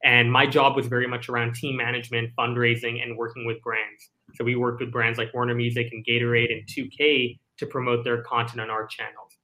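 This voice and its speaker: A man's voice: mid-range voice, sharp tone, poor audio. A man in his twenties from Singapore, a startup CEO telling his story in an articulate, thoughtful way during a casual interview. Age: 20s.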